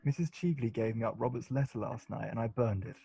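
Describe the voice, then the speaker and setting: A man playing a mellow, husky witty lord. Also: medium pitch, fast. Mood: frank, earnest. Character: witty, frank gentleman lord in his thirties, loyal friend. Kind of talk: amateur radio play acting of a period comedy.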